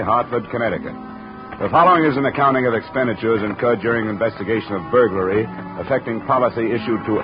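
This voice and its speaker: A man's voice: mid-range voice, natural voice. An actor playing a wry, hard-boiled insurance investigator who narrates his cases in a 1950s radio drama. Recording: dull old radio recording with music.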